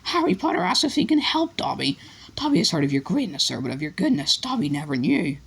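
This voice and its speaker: A reader voicing a small, servile male house elf with a high-pitched, squeaky voice who talks about himself by name. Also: big pitch swings, quick pace, gravelly, a bit bright, breathy edge, exaggerated voice. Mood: earnest, fearful. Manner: earnest, speaks of himself by name.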